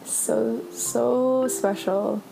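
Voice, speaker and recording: Giggly young woman from Singapore, mellow. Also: on the high side, fairly even intonation, very slow, vocal fry, rough recording. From Singapore and China. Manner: giggly, storytelling with fillers. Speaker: podcast co-host. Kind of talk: casual chat. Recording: faint laptop fan noise.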